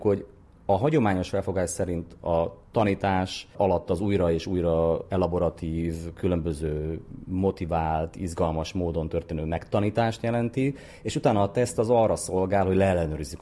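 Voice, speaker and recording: Very deep, dark-voiced man explaining. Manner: articulate, explanatory, academic phrasing. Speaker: psychologist and brain researcher, interview guest. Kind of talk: explanatory expert interview.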